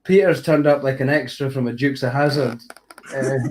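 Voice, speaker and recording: A man's voice: mid-range voice, measured pace, darkish tone, slight background noise, rough recording. A man co-hosting a music show, bantering and teasing in a jokey way. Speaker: co-host. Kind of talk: casual banter.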